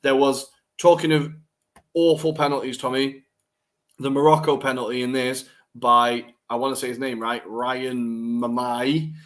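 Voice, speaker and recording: A man's voice: mid-range voice, level tone, a little slow. A man co-hosting a podcast, chatting casually and bluntly through a football recap and laughing easily. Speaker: podcast co-host.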